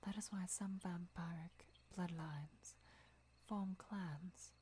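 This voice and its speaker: A woman playing a gentle, devoted vampire lover who teaches patiently in a breathy, measured whisper for an ASMR roleplay. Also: on the low side, fairly even intonation, slight background noise. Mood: calm, instructive. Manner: measured, deliberate, soft-spoken explaining.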